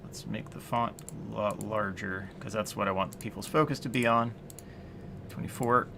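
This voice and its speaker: A man's voice: on the low side, steady tone, moderate pace, vocal fry, background noise. A man in his late thirties chatting casually on a live stream as he works at the computer, thinking aloud to himself, answering viewers and chuckling often. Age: 30s. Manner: muttering to himself while working, chatting with viewers. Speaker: streamer coding on a livestream. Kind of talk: casual live-stream chat while working.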